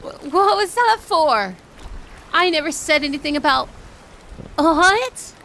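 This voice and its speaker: Woman acting as young mermaid, high girlish pitch, flustered, indignant, stammering, romantic audio drama, slight noise. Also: expressive, slow, fairly bright tone, full character voice. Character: shy, flustered, grumpy young mermaid in denial. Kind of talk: scripted romantic roleplay audio.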